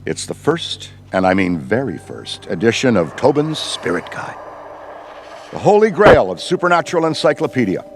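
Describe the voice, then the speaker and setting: An actor playing Ray, an enthusiastic middle-aged veteran ghostbuster who rambles in wordy, professorial speech. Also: male voice, medium pitch, big pitch swings, moderate pace, slight background noise. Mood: lively. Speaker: veteran mentor. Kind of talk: video game cutscene voice acting.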